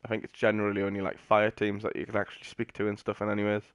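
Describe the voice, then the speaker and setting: Low, flat-toned British man streaming. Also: racing, clear, resonant tone, dry recording, studio quality. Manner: rambling, offhand. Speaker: game streamer. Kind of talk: casual live gameplay commentary. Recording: game music behind the voice. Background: video game music.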